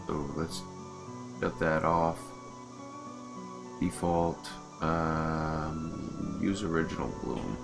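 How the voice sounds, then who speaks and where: A low-voiced man streams a video game with casual commentary. He talks informally, half to himself, while game music plays clearly behind him. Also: steady tone, very slow, pauses often. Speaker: streamer and mod creator.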